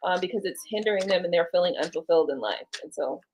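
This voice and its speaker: A woman's voice: lowish voice, animated, fast, darkish tone, slight background noise. A woman reading tarot cards on a casual live stream, rambling and chatting to her viewers and to spirit. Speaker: tarot card reader.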